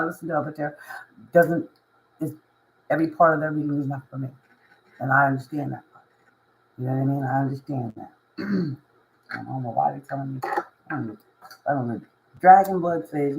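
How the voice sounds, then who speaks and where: Hoarse woman vlogger; raspy, insistent, repeats herself for emphasis, motivational spiritual chat. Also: low voice, darkish tone, rough recording. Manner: emphatic and repetitive, constant "you know what I mean". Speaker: spiritual reader and life coach. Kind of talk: casual motivational monologue to camera.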